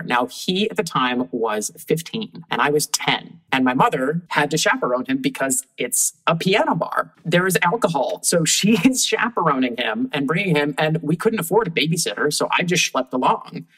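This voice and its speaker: A woman with a deep voice, a guest telling lively anecdotes in casual conversation and laughing often. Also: moderate pace, few pauses, slightly rough, poor audio. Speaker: guest puppeteer.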